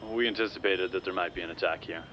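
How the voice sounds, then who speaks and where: A man's voice: low-pitched, fairly even intonation, fast, pushed and bright, a little hiss or hum, poor audio. A man playing an earnest, dutiful American soldier in an audio drama. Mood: determined. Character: earnest American soldier, survivor, confident in the army.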